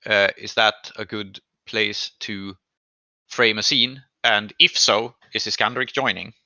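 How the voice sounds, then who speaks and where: A man with a very bright, forceful voice leads a tabletop roleplaying game as its game master. He explains the scene deliberately, pausing to find his words. The sound is very dry and slightly dull. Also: on the low side, steady tone, dry recording. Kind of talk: improvised narration of a tabletop game session.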